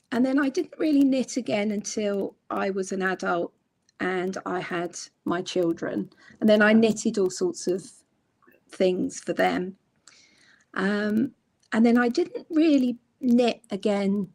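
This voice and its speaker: Middle-aged woman, hoarse from a cold, unhurried and reflective, heard over a video call. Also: mid-range voice, darkish tone. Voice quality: croaky. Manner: slow, reflective storytelling with many ums. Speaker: guest crochet designer and author. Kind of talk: casual chat on a livestream.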